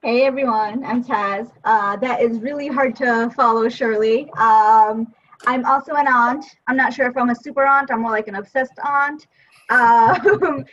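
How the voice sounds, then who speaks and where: A woman's voice: a little high, highs cut off. A woman from New City, introducing herself with jokes as a podcast guest. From New York City. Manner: bubbly, jokey. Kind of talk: casual introduction.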